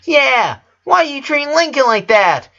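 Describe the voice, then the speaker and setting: A man's voice: very high-pitched, sing-song, moderate pace, gravelly, sharp tone, highs cut off, voice pushed up, full character voice. A man voicing bossy, indignant little twin girls who scold in a story reading.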